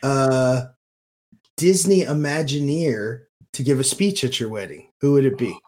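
A man with a medium-pitched voice chats as a podcast co-host. His delivery is informal and conversational, with a light joking touch. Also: expressive, measured pace, a little mellow. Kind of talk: casual podcast chat.

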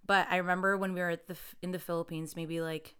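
A woman's voice: lowish voice, little pitch movement, fast, a bit bright, clear, resonant tone. A young woman in her twenties chatting casually as co-host, laughing often and filling her talk with "like". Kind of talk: casual chat.

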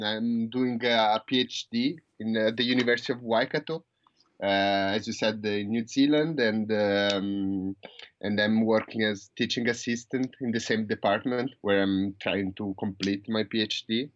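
A man's voice: on the low side, a little slow. Hesitant Italian man in his twenties. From Italy. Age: 20s. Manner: hesitant, many fillers and restarts. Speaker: podcast guest. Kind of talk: casual interview answers. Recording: dull lo-fi dry sound.

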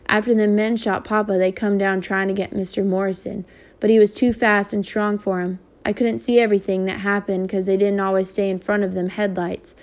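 A woman's voice: medium pitch, lightly acted. A woman voicing a shaken teenage boy who tells of an attack in rural Southern dialect speech.

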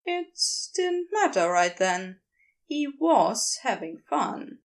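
A woman reading scripted fiction as a narrator, in an expressive storytelling style. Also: on the high side, slow pace. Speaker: podfic narrator.

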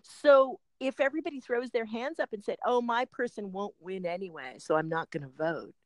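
A woman's voice: medium pitch, normal speed, dry recording. A middle-aged woman hosting a podcast, chatting casually with frequent laughs and rambling asides. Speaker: podcast host and personal trainer.

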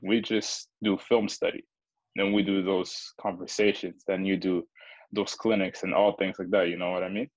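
A man who coaches basketball, explaining things in a steady, earnest way in a casual chat. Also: sits low, moderate pace, no echo, low-quality recording.

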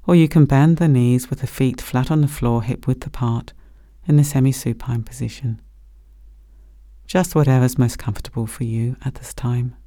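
A man gently leading a guided body scan meditation as a meditation teacher, speaking slowly and soothingly. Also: medium pitch, fairly even intonation, dry recording, studio quality. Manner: gentle, slow, soothing instruction.

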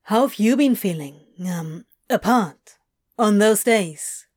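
A woman's voice: mid-range voice, animated, a little mellow. A woman voicing an awkward, laid-back male demon who stammers and trails off. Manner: halting, mumbling, stammering.

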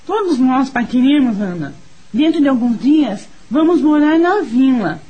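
A woman's voice: high voice, sing-song, a little slow, dark tone, breathy edge, faint music behind, heavily acted, low-quality recording. An actress with a Brazilian accent playing an anxious but hopeful young village woman in a radio soap opera. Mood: confiding.

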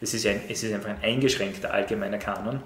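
Brief: middle-aged teacher, lowish voice, measured, lots of "ähm", recorded in an echoey room. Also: male voice, fairly even intonation, fairly bright tone. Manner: measured, many "ähm" fillers and restarts. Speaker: teacher of Latin and Ancient Greek. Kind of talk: interview, thoughtful explanation. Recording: roomy echo with faint noise.

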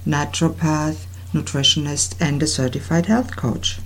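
Low-voiced woman with German accent. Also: moderate pace, low-quality recording. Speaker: radio show host. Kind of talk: scripted personal introduction.

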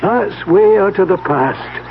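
An actor playing an elderly, deferential family servant with a halting, old-fashioned way of talking in a 1970s radio drama. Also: male voice, sits high, animated, creaky, heavily acted. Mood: wistful. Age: older. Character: elderly, deferential family servant, wistful about bygone magic days. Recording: tinny, noisy old radio broadcast.

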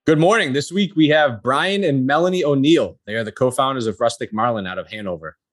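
A man's voice: mid-range voice, a little fast, dry recording, faint music behind. A man hosting a local business podcast, reading an introduction and then chatting loosely with his guests. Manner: friendly, reads intro then chats loosely. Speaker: podcast host. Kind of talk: casual interview chat.

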